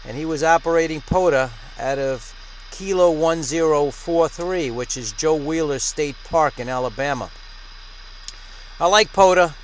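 A man's voice: fairly high pitch, a little slow. A man who hosts an amateur radio channel, talking casually as he narrates and makes radio contacts. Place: small indoor radio desk at home. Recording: noisy room with radio static.

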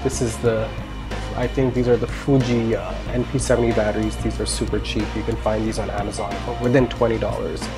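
A man's voice: lowish voice, level tone, brisk. Man reviewing gear over background music. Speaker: gear reviewer, indie filmmaker. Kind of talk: casual product review. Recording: music under the voice. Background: instrumental music with guitar.

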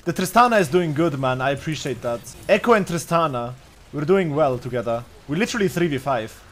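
A man's voice: on the high side, expressive, a little fast, fairly bright tone. A man in his twenties streaming a game live, thinking aloud in a profane, offhand way. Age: 20s. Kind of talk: live gameplay commentary. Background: faint background beat.

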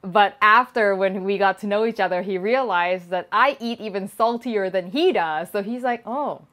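A woman in her thirties from Thailand, hosting a cooking channel and answering viewer questions in a relaxed chat from her home kitchen. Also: mid-range voice, moderate pace. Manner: lively, talks with her hands, laughs often. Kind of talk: casual Q&A vlog. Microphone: small lapel mic.

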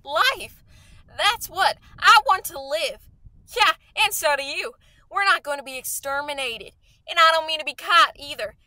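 A woman as a fervent, high-pitched scheming survivor soldier. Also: moderate pace, bright, forceful tone, no room sound. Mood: defiant. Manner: insistent, folksy slangy talk. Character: fervent, scheming artilleryman survivor, hungry for power. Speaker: actor in a radio play. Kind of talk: radio play acting.

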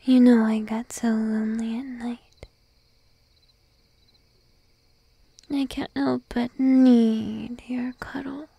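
A woman playing a shy, needy young sheep-girl girlfriend in an ASMR roleplay, whispering softly and coaxingly up close to the microphone. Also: a little high, flat delivery, dry recording, full character voice. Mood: tender, teasing. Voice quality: soft, breathy whisper. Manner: timid, coaxing, lingering pauses. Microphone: close microphone, dry.

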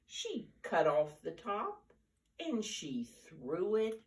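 An older woman in her seventies reading a picture book aloud to preschoolers in an animated, deliberate storyteller's manner, with drawn-out emphasis. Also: mid-range voice. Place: living room, indoors. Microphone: camera a few feet away. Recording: roomy, distant camera microphone.

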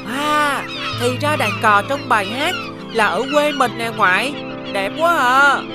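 A woman voicing an earnest, excitable little boy in a children's cartoon. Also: sits high, measured pace, loud music behind the voice. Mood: amazed. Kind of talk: children's animated cartoon acting.

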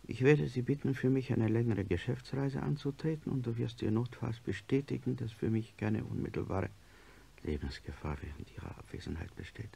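A man playing a stubborn, ailing middle-aged industrialist in a radio drama, with a gravelly, husky voice. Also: mid-range voice, a little fast, warm tone. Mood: urgent, insistent. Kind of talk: German radio drama acting.

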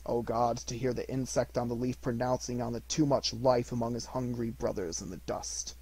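Stern solemn spirit voiced by a man, grave, slow, husky. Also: medium pitch, steady tone, darkish tone, rough recording.

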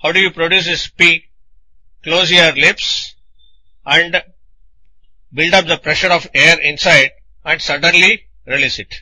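A man narrating a scripted technical lecture in a deliberate, didactic manner, explaining things in short phrases. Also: on the high side, steady tone, sharp tone, breathy edge, rough recording.

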